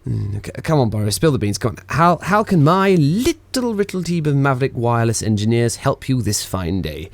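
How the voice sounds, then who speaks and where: A male actor as a sardonic wireless engineer from the 1920s in a radio play. He rambles wryly, theatrically flippant and reluctant, in a mid-pitched voice. The sound is dry and close. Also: big pitch swings, moderate pace, few pauses, dry recording. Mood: flippant, teasing.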